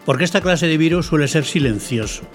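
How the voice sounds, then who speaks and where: A man's voice: a little high, animated, fairly quick, soft background music. A man with an accent from Spain co-hosting and explaining things in a scripted, didactic but conversational way. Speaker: co-host commentator, explainer.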